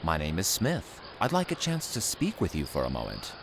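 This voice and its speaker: A man playing a very deep-voiced mysterious salesman. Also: huge pitch swings, moderate pace, noisy background, exaggerated voice. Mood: courteous, mysterious. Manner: formal, smooth, courteous. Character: mysterious polite male salesman dealing in calendars. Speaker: door-to-door salesman. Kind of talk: audio drama acting.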